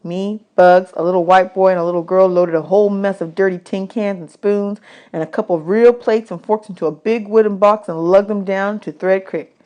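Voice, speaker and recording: A woman reading a children's novel aloud as expressive audiobook narration. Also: mid-range voice, normal speed. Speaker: audiobook reader.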